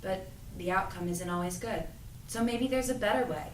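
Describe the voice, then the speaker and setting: A woman's voice: medium pitch, normal speed, close to an everyday voice. A woman playing a thoughtful, moderate member of a secret movement in an audio drama read-through, mild and conversational. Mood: reassuring, reflective. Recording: echoey, distant mic, slight noise.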